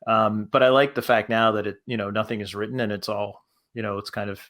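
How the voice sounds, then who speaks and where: A man talking casually as a panelist, in a halting manner that circles back over his phrases. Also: lowish voice, level tone, fairly bright tone. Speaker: panelist, podcast creator.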